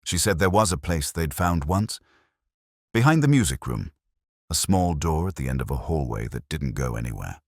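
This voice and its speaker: A man plays a world-weary middle-aged detective telling his own mystery story. His voice sits extremely low yet moves expressively through each phrase. The delivery is reflective and literary, recorded close and dry. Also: sing-song, moderate pace, no echo, heavily acted. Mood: brooding, reflective. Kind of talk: scripted first-person mystery narration.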